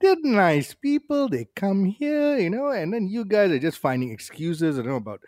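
A man's voice: high voice, very animated, moderate pace, a little mellow, no echo, clean studio sound. A man on a radio panel, teasing the others in a mock-indignant way. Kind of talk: casual radio chat.

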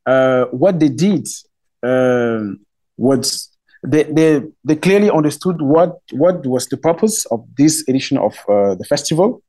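A middle-aged man from Cameroon with a Cameroonian accent, speaking English as a second language. He is a festival spokesman in a radio interview, talking haltingly with many fillers and restarts. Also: medium pitch, expressive, a little mellow. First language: Romala.

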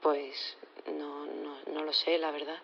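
A woman acting as a nervous science professor on the witness stand in an audio drama, halting, unsure and stammering. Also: low voice, level tone, creaky, highs cut off, full character voice. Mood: uneasy, evasive. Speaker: actor in audio fiction.

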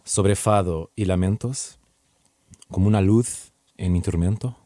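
A Portuguese man hosting a podcast, his voice low and mellow, with a close, dry sound. He asks relaxed, teasing questions in an easy, casual way. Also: a little slow, no room sound. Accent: European Portuguese. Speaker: podcast host. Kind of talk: casual interview.

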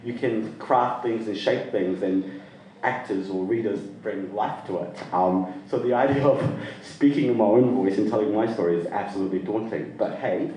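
A man's voice: on the low side, moderate pace, warm tone, distant mic, slight background noise. A man born in the UK and raised in Sri Lanka, telling his own story at a live storytelling night in a casual, rambling way and laughing easily. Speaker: storyteller guest. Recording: roomy echo, from off-mic.

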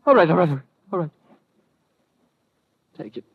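High, strained, jittery gangster gunman. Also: lively intonation, a little slow, creaky, a little hiss or hum, full character voice. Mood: defeated. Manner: tough gangster slang, agitated. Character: jittery, snarling male gunman, hot-headed hired thug. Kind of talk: 1950s radio crime drama acting. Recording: muffled old 1950 radio recording.

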